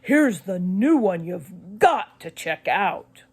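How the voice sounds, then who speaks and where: Casting: theatrical father played by a woman, relishes creepy tales, animated pitch, drawn-out delivery, children's audiobook. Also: breathy edge, heavily acted. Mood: enthusiastic, inviting. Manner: dramatic, drawn-out storytelling. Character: showman-like dad relishing creepy tales of curiosities.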